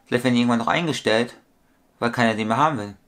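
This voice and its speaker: Thirties man, at-home webcam vlogger, rambling and repetitive, average pitch. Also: rapid-fire, a bit bright, faint music behind. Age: 30s. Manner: rambling, repetitive, corrects his own sums aloud. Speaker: toy collector vlogger. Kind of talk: casual vlog monologue. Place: small room at home with shelves.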